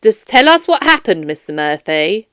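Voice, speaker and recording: A woman's voice: mid-range voice, big pitch swings, fairly bright tone, clean tone, dry recording, studio quality, pitched below the performer's own voice. A woman voicing a firm, formal police detective questioning a suspect in a children's audiobook. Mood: stern. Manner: formal, measured, stern. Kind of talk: children's audiobook character dialogue.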